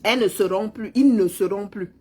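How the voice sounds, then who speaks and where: A woman's voice: mid-range voice, moderate pace, warm tone, husky. A middle-aged woman, mockingly scolding a politician in a heated live-stream rant. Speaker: commentator replying to a politician.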